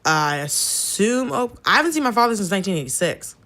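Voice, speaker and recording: A woman's voice: mid-range voice, big pitch swings, fairly quick, fairly bright tone. An American woman in her thirties from Atlanta, chatting casually as a podcast guest, blunt and sweary, telling personal stories and acting out the dialogue. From Atlanta, southern United States. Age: 30s. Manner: candid, blunt, swears freely, acts out quoted dialogue. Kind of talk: casual chat, personal storytelling.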